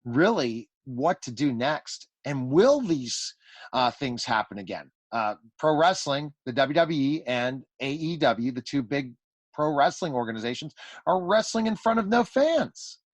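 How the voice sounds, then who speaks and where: A man with a mid-pitched voice hosts a radio talk show in a very dry recording. He speaks effusively and conversationally, rambling and doubling back on his own phrases. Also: big pitch swings, a little slow, no room sound.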